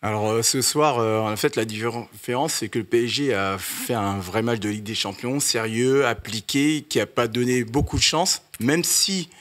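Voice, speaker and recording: Man, panelist, measured, analytical, mid pitch. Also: a bit bright. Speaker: football panelist. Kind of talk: football analysis in casual chat.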